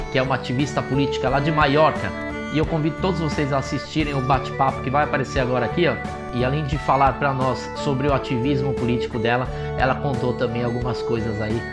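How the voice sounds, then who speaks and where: Male host over loud guitar music. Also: mid-range voice, steady tone, fast talker. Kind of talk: spoken channel intro.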